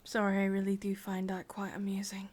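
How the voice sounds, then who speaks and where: A woman with an English accent playing a sadistic, possessive witch in a whispered, breathy ASMR roleplay, commanding yet doting, with deliberate, intimate delivery. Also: mid-range voice, monotone, a little mellow, close to an everyday voice. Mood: amused, sadistic.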